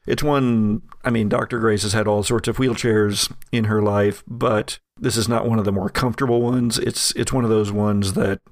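Creaky-voiced man narrating a tabletop game. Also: on the low side, steady tone, normal speed, hardly any pauses, no echo, studio quality. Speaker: game master.

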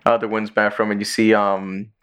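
A man hosting a podcast in casual chat, rambling through stories with quick asides. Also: on the low side, little pitch movement, fairly bright tone, clean tone. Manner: rambling storyteller, sings and does quick voices. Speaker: podcast host.

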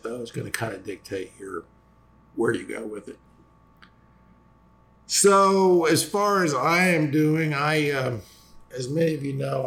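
A man with a raspy voice, a lawyer hosting a casual explanatory talk, halting with fillers and restarts as he loses his voice. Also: medium pitch. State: losing voice after vocal cord injections. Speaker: personal injury lawyer and host.

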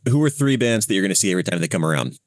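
A man with a deep voice hosting a casual interview. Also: very animated, rapid-fire, dry recording, clean studio sound. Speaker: interview host.

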